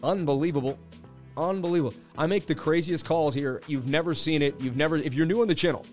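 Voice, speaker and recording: A man hosting a crypto livestream, losing his voice, talking in hyped, boastful banter with his viewers. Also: fairly high pitch. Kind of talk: casual livestream market commentary.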